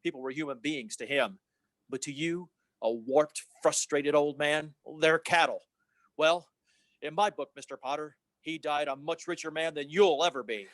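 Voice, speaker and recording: A man's voice: on the high side, moderate pace, no room sound, lightly acted. A man playing an earnest, idealistic young small-town fellow in an old-time radio play, plain-spoken and given to repeating himself. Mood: indignant.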